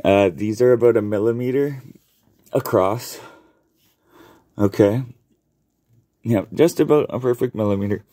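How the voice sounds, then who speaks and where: A man's voice: fairly low pitch, a little slow, long pauses, mellow, no room sound, studio quality. A man casually explaining his home worm bins while filming his hands, rambling with lots of ums and self-corrections. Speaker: home worm composting vlogger. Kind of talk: casual show-and-tell demonstration. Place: basement, indoors. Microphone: handheld camera mic, filming his own hands.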